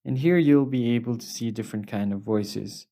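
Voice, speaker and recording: A man's voice: mid-range voice, moderate pace, creaky, mellow, slight background noise. A man narrating a step-by-step tutorial as its host, in a plain, instructional manner.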